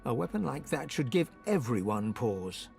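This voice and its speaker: An actor narrating a dark fantasy video game in a solemn, vivid storytelling style. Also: male voice, mid-range voice, sing-song, normal speed, creaky, music in the background. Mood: solemn, dramatic. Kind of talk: scripted second-person game narration.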